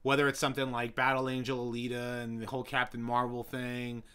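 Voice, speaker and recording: A young man hosting a casual live stream, rambling with many fillers and restarts as he answers viewers, his voice getting hoarse. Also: mid-range voice, completely flat delivery, brisk, bright, forceful tone. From New York City.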